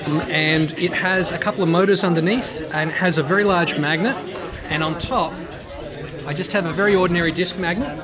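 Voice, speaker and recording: A man's voice: high-pitched, fairly quick. A man with a slightly worn voice, chatting enthusiastically about his project in an interview at a busy fair. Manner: chatty, enthusiastic, many restarts. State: voice getting worn. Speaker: maker showing his project. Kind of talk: casual interview at an event. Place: busy indoor fair hall. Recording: loud crowd noise behind. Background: loud crowd chatter.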